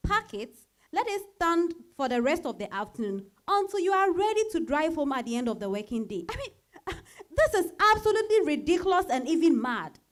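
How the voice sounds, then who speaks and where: A woman acts an exasperated working mother in a dramatized novel. She argues with sarcasm and emphasis, her voice high and swinging widely in pitch. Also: big pitch swings, a little fast. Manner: argumentative, emphatic.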